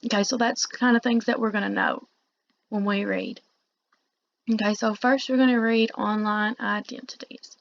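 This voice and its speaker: A woman teacher giving a read-along lecture, reading aloud and pausing to explain in informal asides. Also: mid-range voice, steady tone, vocal fry. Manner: explanatory, pausing to paraphrase the text. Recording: dull, muffled lo-fi recording.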